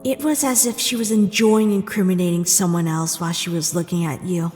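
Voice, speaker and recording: A woman's voice: lowish voice, fairly even intonation, brisk, darkish tone, close to an everyday voice. A woman acting a betrayed, indignant young princess in a dramatic comic dub, with an accusing, emphatic delivery. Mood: hurt, suspicious. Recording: long hall reverb under music.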